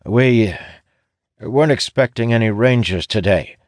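A man voicing a blustering, suspicious guard in a fantasy audiobook, with a gruff, challenging manner. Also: medium pitch, unhurried, no echo, clean studio sound, pitched above the performer's own voice, lightly acted. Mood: wary, deflated. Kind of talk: audiobook fantasy character acting.

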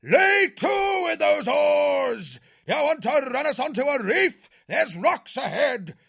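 A man voicing a bossy military hare sea-captain in a storybook, with a raspy British bark and pompous bluster. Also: high voice, very animated, normal speed, no room sound, studio quality, pitched above the performer's own voice, heavily acted. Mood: scolding. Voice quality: raspy, gravelly shout.

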